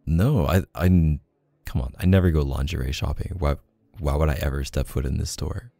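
Male, very low and creaky, for an affectionate, bashful boyfriend in an intimate audio roleplay; hesitant, casual delivery. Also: fairly even intonation, moderate pace, mellow, no room sound. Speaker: ASMR boyfriend roleplay performer. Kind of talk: intimate casual one-sided roleplay acting.

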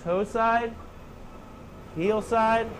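A man in his thirties coaching beginners through snowboard moves in short, calm cues. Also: extremely high pitch, extremely slow, slight background noise. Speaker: snowboard instructor. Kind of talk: step-by-step instructional demonstration. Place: indoor training slope under a roof.

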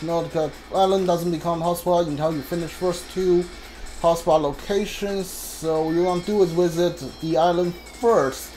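A video game player giving casual live playthrough commentary, thinking aloud in a halting way with mumbled asides. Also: medium pitch, a little mellow, poor audio. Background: video game music.

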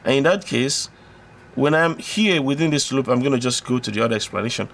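A man presenting an electronics tutorial, explaining step by step in an informal, halting way with repetitions and self-corrections. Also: mid-range voice, lively intonation, gravelly, fairly bright tone, a little hiss or hum.

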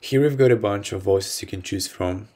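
A young man hosting a software tutorial in a vlog style, talking to camera indoors. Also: fairly low pitch, normal speed. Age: young adult. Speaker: software demo host. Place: small indoor attic room at home.

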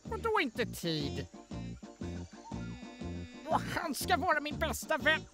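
A woman's voice: high-pitched, wildly swooping pitch. A woman voicing a brash, boastful crocodile in a cartoon fan dub, cocky and swaggering. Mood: offended. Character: brash, boastful crocodile friend, adventurous and touchy. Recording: music loud behind the voice.

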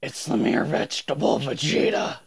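A man's voice: a little high, lively intonation, normal speed, slightly rough, voice pushed down, exaggerated voice. A man voicing a smug, menacing evil anime hero's double, sneering as he taunts. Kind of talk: anime villain voice impression. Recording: game sound effects behind.

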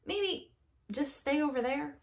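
A woman puts on a big grown-up truck from a picture book. It talks down to the listener, doubtful and patronising, in a fairly high voice with plenty of rise and fall. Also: expressive, slow, exaggerated voice. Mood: doubtful, dismissive. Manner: patronising, explaining.

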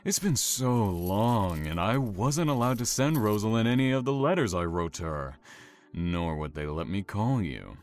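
An actor playing a taunting, obsessive imprisoned serial killer in an audio horror drama, smug and teasing with drawn-out menace. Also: male voice, lowish voice, expressive. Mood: smug, controlling.

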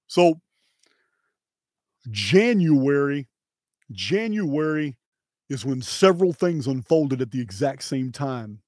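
A middle-aged man with an American accent presenting solo, emphatically and deliberately, in short phrases with pauses, close to the microphone. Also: mid-range voice, very animated, a little mellow, no echo. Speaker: video host and presenter. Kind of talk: solo presentation to camera, dramatic commentary. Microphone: close microphone on boom arm.

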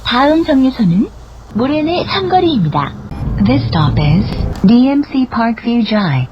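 A woman with a high, melodic voice gives formal transit announcements. She enunciates each phrase carefully and keeps a measured delivery. The sound is dull, with clear vehicle noise around it. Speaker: bus stop announcer. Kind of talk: scripted public transit announcement. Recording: dull, noisy bus speaker capture. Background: bus engine and cabin noise.

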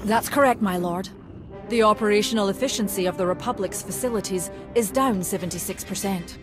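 A woman's voice: medium pitch, a little fast, natural voice. Courteous, dutiful female military colonel played by an actress for a game, clipped formality over prominent music. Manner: crisp, formal, dryly polite military diction. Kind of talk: video game voice acting.

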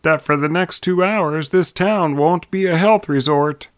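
A man puts on a young frontier townsman in a Western tale. The voice is raised and clear, with a folksy, knowing, emphatic delivery. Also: fairly high pitch, unhurried, dry recording, clean studio sound, pitched above the performer's own voice, lightly acted. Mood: wry warning. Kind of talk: storybook character voice in a Western tale.

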